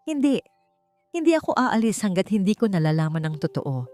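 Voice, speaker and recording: A woman's voice: medium pitch, big pitch swings, a little fast, darkish tone, faint music behind, lightly acted. Narrator voicing a defiant, determined young woman.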